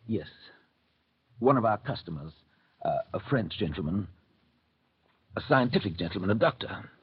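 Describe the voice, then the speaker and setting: Low-voiced, formal banker, old radio. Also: male voice, lively intonation, breathy edge. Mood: careful, grave. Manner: measured, formal, hesitant at delicate news. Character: reserved, businesslike English banker and narrator. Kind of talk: 1930s radio acting. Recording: dull, noisy old radio recording.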